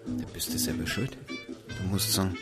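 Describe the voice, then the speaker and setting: A wry Bavarian policeman with a low voice. He talks in casual, teasing dialect as in a naturalistic radio play. Prominent music plays behind him. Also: animated, normal speed. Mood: wry, guarded. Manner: dry, wry, colloquial. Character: dry, teasing male Bavarian police officer, groom's old pal. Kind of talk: naturalistic radio drama dialogue.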